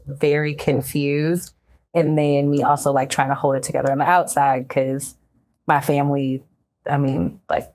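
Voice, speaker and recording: A woman's voice: low voice, no echo. A young American woman telling a personal story in a casual, conversational way, peppered with "like". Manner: conversational, frequent "like", expressive quoting. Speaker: round-table panelist, cancer survivor.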